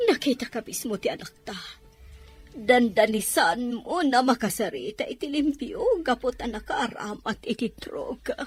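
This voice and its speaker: A woman's voice: on the high side, expressive, moderate pace, faint music behind, close to an everyday voice. A woman playing an anguished, reproachful mother with an Ilocano accent in an audio drama, pleading dramatically.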